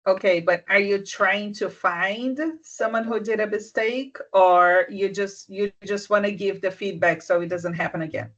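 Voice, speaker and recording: A mentor talks through workplace advice on a video call, gently and with frequent restarts. The voice sits in a middle pitch, and the call audio comes through a little dull and muffled. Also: fairly even intonation, moderate pace, few pauses. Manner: soft-spoken, restarts often, checks understanding. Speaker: business mentor. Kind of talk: advice in a live online session.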